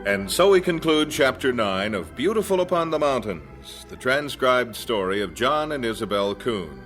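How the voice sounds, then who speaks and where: Male announcer, mid pitch, scripted radio sign-off, faint background music. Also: big pitch swings, normal speed.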